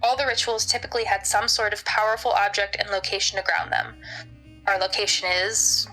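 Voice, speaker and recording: Bright, pragmatic woman researcher, acted. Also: mid-range voice, steady tone, faint music behind, lightly acted, rough recording. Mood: thoughtful. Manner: measured, deliberate, matter-of-fact. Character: Astrid, pragmatic, unflappable woman researcher of the occult. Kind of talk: naturalistic scripted audio drama.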